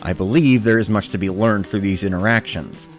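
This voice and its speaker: A man narrates a scripted first-person story as a dramatic reading. His voice is on the low side and his delivery is measured and matter-of-fact, with faint music underneath.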